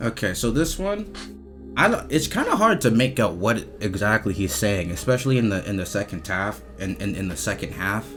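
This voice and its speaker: A man with a lowish voice gives casual review commentary over faint music. His pitch moves around a lot. He repeats himself, stalls and restarts, and leans on slang and swearing. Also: big pitch swings. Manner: repetitive, halting, slangy and profane. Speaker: video creator reviewing game voice lines.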